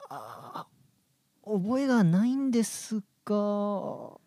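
A man's voice: high-pitched, animated, extremely slow, mellow, no room sound, full character voice. A man playing a polite, easily flustered young man as the bewildered straight man in a radio comedy drama.

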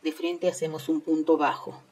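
A woman's voice: deep voice, little pitch movement, moderate pace, a little mellow. A woman hosting an informal step-by-step craft tutorial, instructing with repeated phrases and tag questions. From Peru. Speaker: host of a crochet tutorial channel.

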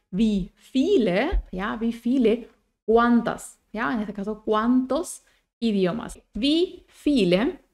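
A woman, giving a language lesson with deliberate enunciation and frequent little check-in tags. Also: on the high side. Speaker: German teacher. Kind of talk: bilingual language lesson, explaining and modelling phrases. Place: small indoor room at home.

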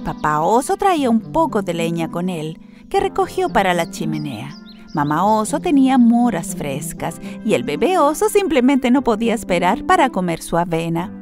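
A woman narrating a scripted children's story in an expressive storytelling manner. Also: mid-range voice, moderate pace, music in the background.

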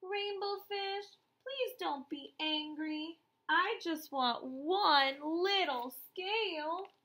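A woman voicing a small, eager, polite male blue fish from a storybook, with drawn-out phrases. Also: extremely high pitch, bright, forceful tone, voice pushed up, heavily acted. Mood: gentle pleading.

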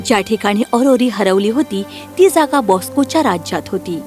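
A woman's voice: mid-range voice, lively intonation, normal speed, darkish tone, soft background music. A woman narrating a scripted fairy tale as the storyteller.

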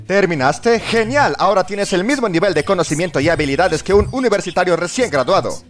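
Male comedic narrator, high voice with big swings, sarcastic and exaggerated, music underneath. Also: animated, fairly quick. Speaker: fandub narrator. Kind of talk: scripted comedic parody narration.

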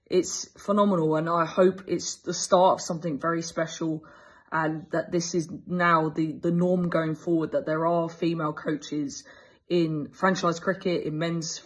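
A woman's voice: deep voice, little pitch movement, a little slow, slightly rough. An English woman in her thirties, a cricket coach answering interview questions enthusiastically over a video call, with frequent fillers and restarts. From England. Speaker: fast bowling coach. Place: indoors, small room. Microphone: earbuds on a video call.

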